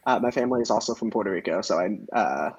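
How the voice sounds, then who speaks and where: A man's voice: lowish voice, lively intonation, fast, darkish tone, husky, no echo. A man interviewing a guest over a video call, friendly and hesitant, with many fillers as he asks his questions. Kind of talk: casual oral history interview. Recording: dull, dry lo-fi video call audio.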